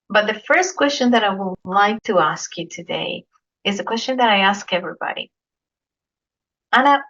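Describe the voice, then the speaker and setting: A woman hosts her podcast, introducing her guest in measured phrases and then moving into questions. Her voice sits at a middle pitch, heard through a dull, lo-fi recording. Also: a bit bright. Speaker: podcast host. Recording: dull lo-fi audio.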